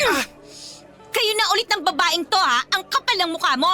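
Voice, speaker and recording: A woman's voice: very high voice, expressive, normal speed, sharp tone, faint music behind, exaggerated voice. An actress with a Filipino accent playing a jealous, confrontational young woman in an emotional radio romance drama. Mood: furious, hurt. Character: jealous, fiery young woman, betrayed girlfriend.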